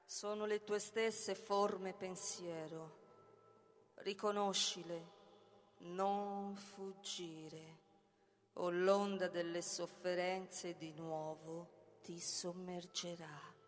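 A woman playing a grave, impersonal chorus in a theatrical ritual recitation, solemn, measured and monotone. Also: lowish voice. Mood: grave, warning. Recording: long reverb, music behind. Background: music.

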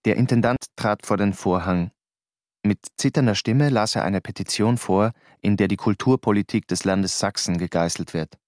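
A man's voice: fairly low pitch, moderate pace, darkish tone, no echo, studio quality, natural voice. A man playing a restless, insistent Austrian stage actor in a radio drama, telling his story and arguing. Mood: tense narration. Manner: insistent, probing. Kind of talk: radio drama narration and dialogue.

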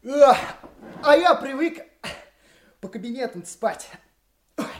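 An actor playing an easygoing, jokey junior police detective in a radio drama, talking colloquially and playfully. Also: male voice, extremely high pitch, lively intonation, slow pace, a little mellow, full character voice. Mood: sleepy, playful. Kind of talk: Russian radio drama acting.

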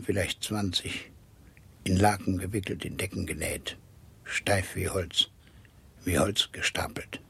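An actor playing a grim townsman in a 1960s radio play about the plague, speaking in terse, deliberate phrases with a hoarse voice. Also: lowish voice, a little mellow, heavily acted. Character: grim, indignant townsman of plague-stricken London.

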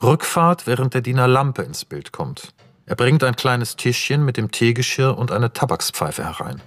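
A man's voice: mid-range voice, very animated, normal speed, a bit bright, no echo. Dry-sounding, matter-of-fact male narrator. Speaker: narrator reading screenplay directions. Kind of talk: scripted narration of a radio play.

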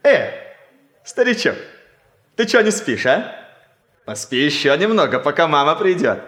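A cheerful young male student in love in a 1960s radio comedy. His fairly high voice swings freely up and down. He talks playfully, teasing whoever is listening. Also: very animated, unhurried, a bit bright. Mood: tender, playful. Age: young adult.

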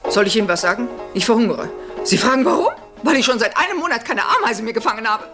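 A woman's voice: on the high side, animated, fast talker. Chatty cartoon anteater lady, posh n droll, swoopy, music behind. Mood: comic complaining. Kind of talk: cartoon dubbing, talking to the viewer.

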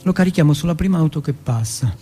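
A man's voice: on the high side, lively intonation, fast talker, warm tone, soft background music. A man from Turin performing a first-person dramatic monologue in short, blunt, colloquial sentences with a streetwise manner. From Turin, Italy.

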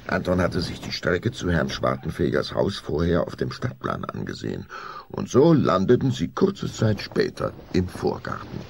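A man's voice: low voice, gravelly, noisy background. A man narrating a scripted children's story as the storyteller of an audio drama.

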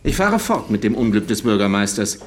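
An actor playing a shrewd, methodical detective in a radio drama, laying things out deliberately and pointedly. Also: male voice, on the low side, very animated, slight background noise. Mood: insinuating.

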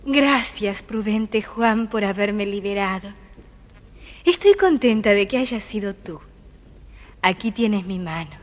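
A woman playing a gentle, grateful young princess in a children's audio storybook. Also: mid-range voice, animated, takes it slowly. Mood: grateful, happy. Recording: reverberant, faintly noisy storybook record.

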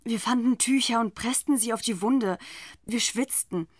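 Actress as grave, measured female narrator. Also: a little high, dry recording, studio quality, lightly acted. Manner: measured, literary. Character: former gang member gravely recalling the story. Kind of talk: dramatic first-person radio drama narration.

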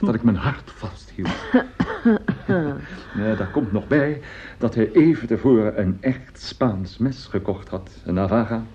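A man's voice: lowish voice, unhurried, dark tone, slight background noise, lightly acted. An actor in a radio play as a boastful, jovial, germ-shy opera singer who chats effusively and repeats his words. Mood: animated storytelling. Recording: dull, lo-fi old radio recording.

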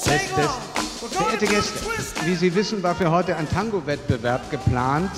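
An actor playing an excitable, pompous master of ceremonies making stage announcements in a radio drama. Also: male voice, high-pitched, normal speed. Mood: pompous, festive. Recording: echoey PA in hall, loud music, crowd.

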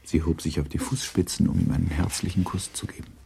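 A man narrating a literary radio play in a measured, sober storytelling manner, with a dark, gravelly, husky voice. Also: low voice, fairly even intonation, slight background noise.

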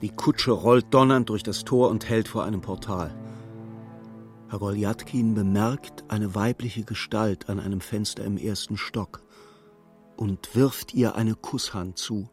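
Man as radio drama storyteller, kinda low voice, measured scripted narration, calm observer type. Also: soft background music, lightly acted. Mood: composed, observant. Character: composed male storyteller observing a hapless clerk's misadventures.

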